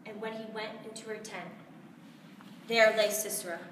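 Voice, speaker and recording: A woman's voice: mid-range voice, steady tone, normal speed, a bit bright. Theatrical American woman reciting, roomy distant recording. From United States. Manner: theatrical, emphatic, storytelling delivery. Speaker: competition contestant reciting scripture. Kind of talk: dramatized recitation of Bible narrative. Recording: roomy, distant mic, faint noise.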